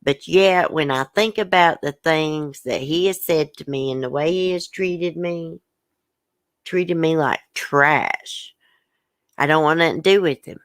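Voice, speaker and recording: Low-voiced upset woman venting to viewers. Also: measured pace, dry recording. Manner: emotional, blunt, colloquial, swears. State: upset and hurt. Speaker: YouTube channel host. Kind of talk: livestream, venting and reading viewer comments aloud.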